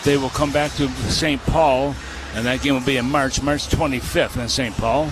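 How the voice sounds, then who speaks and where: Guy doing hockey color commentary, middling pitch, measured and explainy, sound bed behind him. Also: fairly even intonation, music in the background. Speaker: radio color analyst. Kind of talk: live hockey analysis. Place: arena broadcast position.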